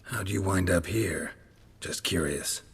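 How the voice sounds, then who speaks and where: Grizzled monster hunter, rumbling deep and raspy, dry and terse, in a reverberant space. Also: male voice, a little slow, exaggerated voice. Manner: terse, dry, low-key. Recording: long hall reverb.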